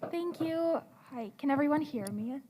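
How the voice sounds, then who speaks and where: A woman's voice: high voice, expressive, normal speed, dark tone. A young woman from Ukraine, a graduate student on a panel, speaking hesitantly with many "um" and "like" fillers into a handheld mic. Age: young adult. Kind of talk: panel answers. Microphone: handheld microphone.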